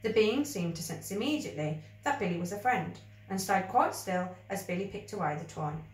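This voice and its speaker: A woman reading a children's story aloud in an expressive, scripted storybook style. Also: mid-range voice, a little fast. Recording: echoey room, distant mic.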